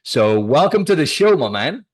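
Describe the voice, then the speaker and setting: A man's voice: fairly high pitch, sing-song, normal speed. A man from Wales with a South Welsh accent, hosting a podcast interview over a call in a casual chatty way, calling his guest "bro" and "man". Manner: informal, peppers speech with "bro" and "man". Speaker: podcast host.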